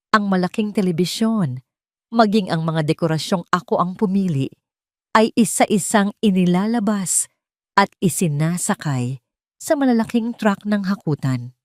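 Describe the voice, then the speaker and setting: A woman's voice: mid-range voice, no echo, studio quality. A woman reading a scripted first-person story as a dramatic narrator.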